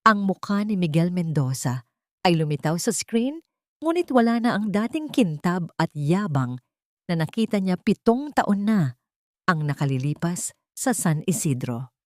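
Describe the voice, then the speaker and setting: A woman's voice: lowish voice, very animated, a little slow, a little mellow, no room sound, studio quality. A woman reading a scripted dramatic story as its narrator.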